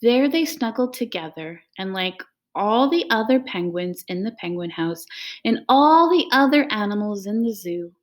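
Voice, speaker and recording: A woman with a medium-pitched voice reads a picture book. It is a read-aloud meant for young children. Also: lively intonation, normal speed. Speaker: school staff member reading aloud.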